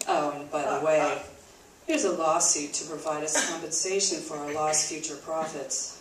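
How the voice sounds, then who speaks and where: A haughty corporate director, voiced by a woman speaks with formal, aggrieved pomposity in a homemade puppet show. The sound is echoey and a little distant, with faint noise. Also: low voice.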